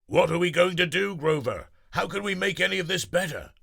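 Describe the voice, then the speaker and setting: A man's voice: high-pitched, animated, brisk, gravelly, bright, forceful tone, husky, pitched above the performer's own voice, full character voice. A man voicing a worried animal friend in a children's storybook reading.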